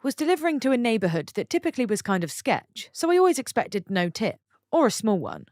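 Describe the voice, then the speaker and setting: A woman reading online stories aloud for her channel, stopping for chatty, expressive asides to her viewers. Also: fairly high pitch, fast talker, no echo, studio quality. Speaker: story channel narrator.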